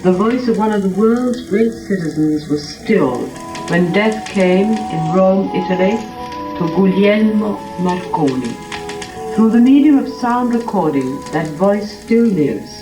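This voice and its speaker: A woman's voice: medium pitch, expressive, unhurried, music in the background. A woman announcer giving solemn, declamatory 1930s-style scripted narration. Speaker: radio announcer. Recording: crackly 1930s record, echoey distant playback.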